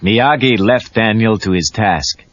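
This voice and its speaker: A man's voice: fairly low pitch, unhurried, fairly bright tone. A man narrating a scripted read-along story for children as the storyteller. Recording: muffled lo-fi read-along cassette tape.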